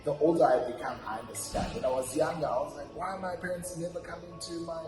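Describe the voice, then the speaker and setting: A man's voice: a little high, quick pace, reverberant, over music. A young man from South Africa, telling his life story in a reflective, casual interview with many fillers and restarts. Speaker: podcast guest.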